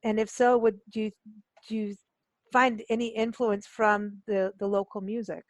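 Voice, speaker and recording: A woman's voice: medium pitch, level tone, moderate pace, no room sound. A woman hosting a radio show in a casual interview style, asking informal, rambling questions.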